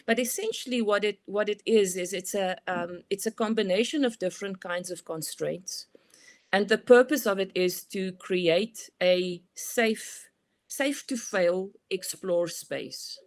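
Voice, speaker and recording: A middle-aged woman with a South African accent, a guest expert explaining her ideas in a thoughtful, conversational way with many "you know" fillers. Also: lowish voice. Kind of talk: conversational interview.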